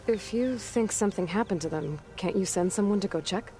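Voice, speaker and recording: A woman playing a calm, capable young warrior heroine in a video game, speaking in a measured way. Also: medium pitch, faint music behind, lightly acted. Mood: concerned, helpful.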